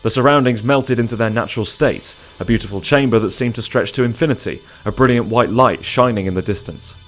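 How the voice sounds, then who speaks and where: A man's voice: medium pitch, brisk, soft background music. A man narrating a scripted audio drama in a dramatic storytelling style.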